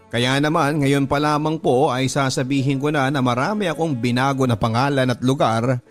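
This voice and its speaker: Filipino man, radio drama narrator, scripted first-person storytelling, mid-range voice, background music. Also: mid-range voice. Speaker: radio drama narrator reading a listener's letter.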